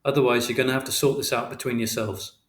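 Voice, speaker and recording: A man voicing a calm, matter-of-fact policeman in an audiobook, brisk, official and reasonable. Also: mid-range voice, reverberant, natural voice. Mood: firm, reasonable.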